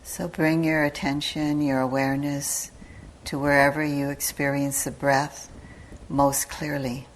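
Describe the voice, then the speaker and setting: A woman teacher giving guided meditation instructions in a calm, measured manner, with long pauses between short phrases. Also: deep voice, a little hiss or hum, poor audio. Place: meditation retreat hall.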